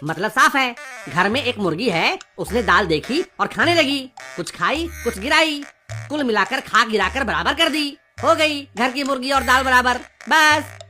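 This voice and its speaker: A man's voice: extremely high pitch, expressive, unhurried. A man voicing a cheeky, smart-mouthed schoolboy who talks glibly in a comedy cartoon. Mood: smug, matter-of-fact. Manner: cheeky, glib. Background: music.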